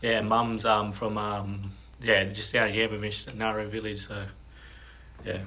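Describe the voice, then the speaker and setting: A man's voice: on the low side, little pitch movement, a little slow, sharp tone. A young Australian man, a rugby league player, answering briefly and hesitantly as a guest. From New South Wales, Australia. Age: young adult.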